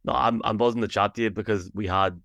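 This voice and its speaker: A man hosting a podcast interview, chatty and quick, full of restarts. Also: lowish voice, fairly even intonation, vocal fry, fairly bright tone, no room sound. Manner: rapid, chatty, lots of restarts. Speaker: podcast host. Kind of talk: casual interview chat.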